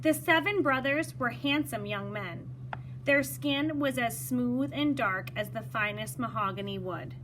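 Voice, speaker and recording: A woman reading a children's story aloud in an expressive, teacherly manner, pausing to explain words. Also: a little high, noisy background.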